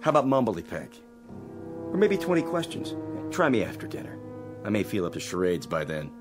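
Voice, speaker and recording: Radio drama: wisecracking, griping American astronaut, lowish voice, very lively intonation, slangy. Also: male voice, brisk. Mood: wry. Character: sarcastic, griping male astronaut, resentful crewman. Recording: dramatic music behind the voice.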